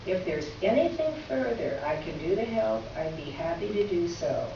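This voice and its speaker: A woman's voice: fairly low pitch, sing-song, moderate pace. A woman playing a gracious, wry letter writer in a staged reading, speaking in formal, articulate phrases. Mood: gracious, earnest. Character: witty, gracious woman writing a candid letter. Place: echoey indoor room, recorded from afar. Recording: echoey room, distant mic, muffled, faint noise.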